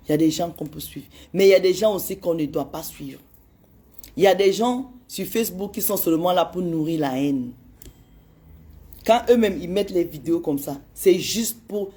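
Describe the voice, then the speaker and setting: A woman in her forties talking to her followers with a warm, mellow tone. She speaks with heated urgency and pleads with them, hammering the same phrases again and again. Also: on the low side, normal speed. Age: 40s. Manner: impassioned and pleading, repeats phrases. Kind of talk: direct address to followers on social media.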